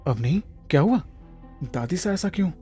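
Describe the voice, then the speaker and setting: A man's voice: high-pitched, animated, normal speed, warm tone, soft background music. A man playing a protective, powerful husband and boss in an audio drama, stern and formal, with an angry edge. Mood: concerned. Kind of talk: Hindi audio drama acting.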